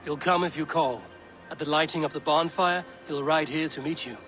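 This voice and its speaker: Low-voiced, steadfast Viking woman. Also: steady tone, fairly bright tone, faint music behind. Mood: quiet, earnest. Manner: measured, terse, formal archaic phrasing. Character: steadfast, commanding female Viking warrior, calm and blunt. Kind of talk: dramatic period game acting.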